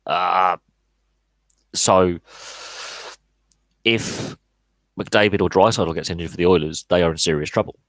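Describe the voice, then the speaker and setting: Deep-voiced guy in his 30s, podcast host, lots of ums and restarts, dry sound. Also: a little slow, long pauses, a bit bright, no echo. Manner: rambling, frequent fillers and restarts. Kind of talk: solo live podcast Q&A, casual analysis.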